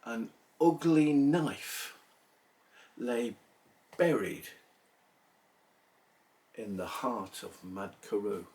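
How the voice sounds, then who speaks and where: A man's voice: medium pitch, lively intonation, a little mellow. Guy reciting an old story poem, really theatrical, swoops up and down, bit far from the mic. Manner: theatrical, measured delivery with pauses between phrases. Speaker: poetry reader. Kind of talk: dramatic recitation of a narrative poem.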